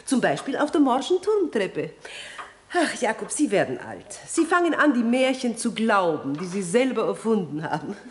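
An actress playing a gracious, skeptical, lightly mocking countess in a 1960s German radio play. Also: female voice, a little high, very animated, moderate pace. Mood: amused, dismissive. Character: amused, skeptical countess with aristocratic poise.

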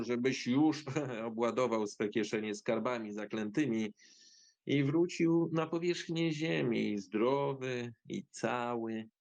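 A man's voice: mid-range voice, gravelly. A man voicing a scheming, commanding sorcerer who poses as a kindly uncle in an audio fairy tale, speaking with deliberation. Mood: falsely gentle. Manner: slow, deliberate instructions turning stern or falsely gentle.

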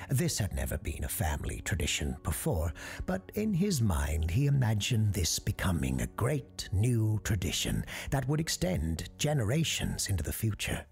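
A man playing a genial parapsychologist radio host who tells Christmas ghost stories in a husky, creaky, measured, genteel voice. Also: lowish voice, wildly swooping pitch, a little mellow, soft background music, full character voice. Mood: storytelling. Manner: genteel, measured storyteller's delivery. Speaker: host and storyteller of an audio fiction show. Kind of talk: scripted storytelling, radio-show monologue.

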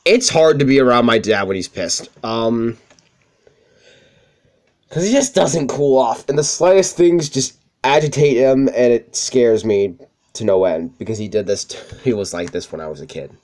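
A young man with a high-pitched voice, swearing freely as he tells rambling stories over gameplay. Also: lively intonation, normal speed, a little mellow. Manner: profane, rambling, self-deprecating. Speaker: let's play commentator. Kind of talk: casual gameplay commentary with storytelling.

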